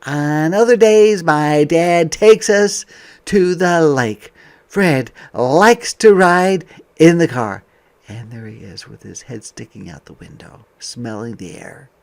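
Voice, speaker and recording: A man reading a picture book aloud to young children as a teacher, expressive, with softer conversational asides. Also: fairly high pitch, takes it slowly.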